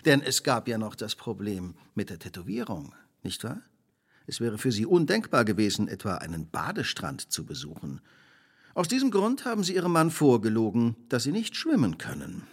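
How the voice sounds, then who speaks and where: An actor playing a shrewd, relentless detective in a radio drama, speaking precisely, formally and theatrically. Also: male voice, mid-range voice, huge pitch swings, normal speed, slightly rough, exaggerated voice. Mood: accusing, assured. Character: shrewd, relentless male detective laying out a crime.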